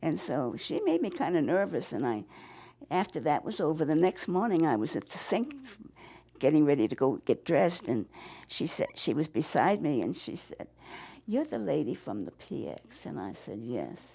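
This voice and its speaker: Very old New England woman, gravelly. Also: low-pitched, very animated, mellow. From Massachusetts. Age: 90s. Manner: rambling, halting, with frequent restarts. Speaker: interviewee, war veteran. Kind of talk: oral history interview.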